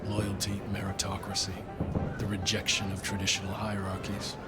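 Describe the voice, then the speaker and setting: An actor playing a grave, formal vampire informant, measured and solemn, in video game exposition. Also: male voice, deep voice, monotone, loud music behind the voice, natural voice.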